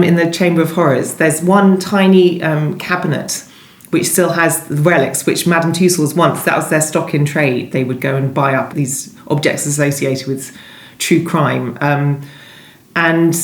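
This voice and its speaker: A woman with a deep voice talks as an interviewed novelist. She wanders through her answers, pausing and rewording. The room adds a clear echo. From London, England. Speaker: guest novelist being interviewed. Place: indoors at home. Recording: echoey room.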